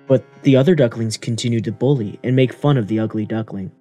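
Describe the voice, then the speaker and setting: Mellow male storybook narrator. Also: mid-range voice, fast, faint music behind. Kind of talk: scripted children's story narration.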